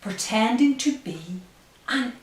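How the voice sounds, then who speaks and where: A woman librarian speaking at story time for young children, with careful, deliberate phrasing and pauses. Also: fairly high pitch, animated. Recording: roomy, distant, faint hiss.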